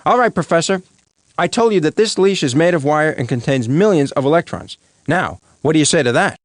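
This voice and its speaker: A man's voice: fairly high pitch, expressive, a little fast, dry recording, studio quality, lightly acted. A man voicing a blustering cartoon lawyer who sounds pompous but easily flustered. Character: blustering, nervous male opposing lawyer.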